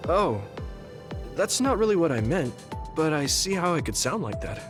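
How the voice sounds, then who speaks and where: An actor playing an earnest, gentle, idealistic young soldier in a video game, talking sincerely and a little hesitantly. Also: male voice, fairly high pitch, very animated, normal speed, music in the background. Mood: thoughtful. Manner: sincere, conversational, slightly hesitant. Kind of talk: English video game voice acting.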